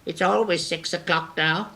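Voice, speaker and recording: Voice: mid-range voice, fairly even intonation, gravelly, bright, forceful tone, poor audio. An actor playing a brusque, argumentative mad hatter with a pompous, theatrical manner in a 1950s storybook recording. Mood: boastful storytelling. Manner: quick to contradict, pompous, theatrical.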